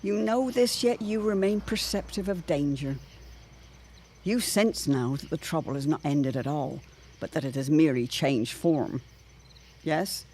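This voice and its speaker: A woman's voice: low-pitched, measured pace, dark tone. A woman acts out an anxious matron of a period radio drama, given to premonitions. She speaks in ornate, formal, theatrical phrases. Crickets chirp behind her in a somewhat noisy recording. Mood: earnest, concerned. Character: Jane Pembroke, anxious, eloquent older neighbourhood matron with premonitions.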